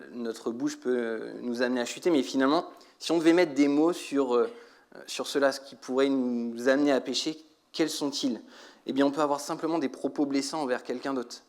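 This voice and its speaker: Man preaching an exhorting sermon. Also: mid-range voice. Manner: exhorting, rhetorical questions to the congregation. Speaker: church preacher.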